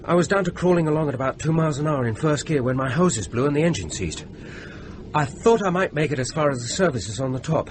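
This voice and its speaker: Actor as an amiable stranded motorist, noisy recording. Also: male voice, a little high, expressive, quick pace, lightly acted. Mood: relieved, chatty. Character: stranded motorist, shaken but amiable man. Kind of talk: radio drama dialogue. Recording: noisy radio drama recording.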